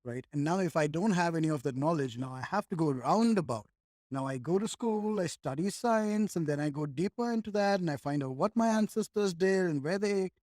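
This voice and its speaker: A man's voice: on the high side, expressive, a little fast, a little mellow, no echo, clean studio sound. A man, a podcast guest talking in a reflective, rambling way with many restarts. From India. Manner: rambling, with frequent restarts and "like", "right?". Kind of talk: reflective conversational chat.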